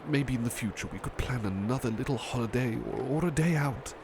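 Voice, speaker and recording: A man playing a gentle, bashful butler turned into a cuddly plush doll in an ASMR roleplay, speaking tenderly and politely with hesitant pauses. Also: mid-range voice, background noise, natural voice. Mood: sleepy, affectionate. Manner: hesitant, polite, tender, halting with soft repetitions. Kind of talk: ASMR roleplay, soothing personal attention.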